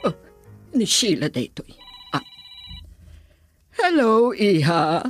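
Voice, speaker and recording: An actress playing a doting, scheming middle-aged auntie in a radio drama, with a husky voice. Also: female voice, medium pitch, big pitch swings, slow, soft background music. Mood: indulgent.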